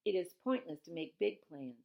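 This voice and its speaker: A woman with a lowish, creaky voice reads a children's novel aloud. Her delivery is expressive storytelling, with a plain, teacherly way of explaining. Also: fairly quick, highs cut off. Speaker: reader of a children's novel who pauses to explain words. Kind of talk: expressive read-aloud storytelling with informal asides.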